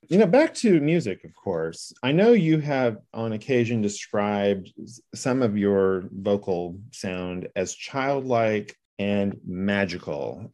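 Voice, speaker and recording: A man interviewing a musician, asking long, measured questions. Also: lowish voice.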